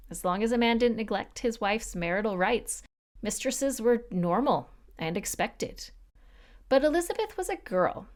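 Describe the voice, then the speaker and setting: A woman hosting a podcast, reading a scripted history narration with wry, joking asides to the listener. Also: medium pitch. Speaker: podcast host.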